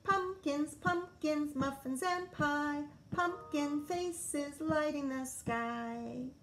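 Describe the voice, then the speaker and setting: A woman voicing an excitable, clumsy young boy frog in a children's storybook, exclaiming and chanting in sing-song. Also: high-pitched, extremely slow, slight background noise, pitched above the performer's own voice, full character voice. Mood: gleeful singing. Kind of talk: children's storybook read-aloud.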